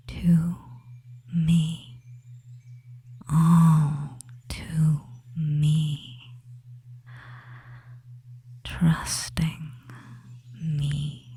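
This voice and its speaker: A performer voicing a devious, dominant femboy witch in a breathy ASMR whisper, speaking hypnotically with long pauses. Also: medium pitch, warm tone, full character voice.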